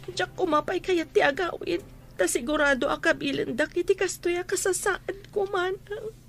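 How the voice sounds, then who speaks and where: Tearful, extremely high-voiced young maid. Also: female voice, moderate pace, vocal fry, fairly bright tone, full character voice. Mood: crying, pleading. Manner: plaintive, meek. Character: tearful, pitiful young housemaid begging for mercy. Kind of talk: radio comedy-drama acting in Ilocano.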